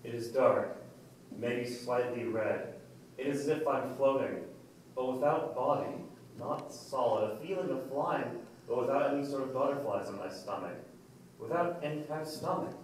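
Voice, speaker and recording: Heavy room echo surrounds a distant male voice with faint noise behind it. It is an actor as a haunted, earnest man in a trance in a staged play. He speaks deliberately and intensely, in a medium pitch. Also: lightly acted. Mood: dazed, wondering. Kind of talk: dramatic staged play reading. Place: indoor room, staged reading recorded from afar.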